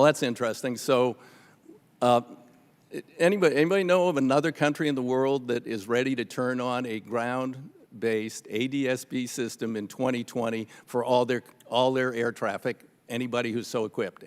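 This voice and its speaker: An American man questioning witnesses at a legislative hearing in a halting, skeptical, pressing way. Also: mid-range voice, fairly even intonation. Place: large indoor hearing room. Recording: reverberant hearing room.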